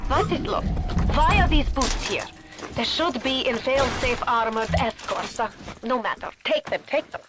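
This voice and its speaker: A woman voicing a stern, remorseful middle-aged German scientist in a video game, with a German accent and clipped, slightly broken English, over loud game music. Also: high voice, moderate pace, close to an everyday voice. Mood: instructing.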